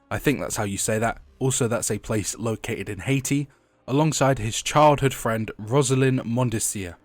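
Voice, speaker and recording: A young man narrates a video essay with a stuffy, sniffly head-cold sound. He reads from a script in a mid-range voice and breaks off for casual asides. Soft music plays quietly behind him. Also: medium pitch, no echo. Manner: chatty asides while reading lore.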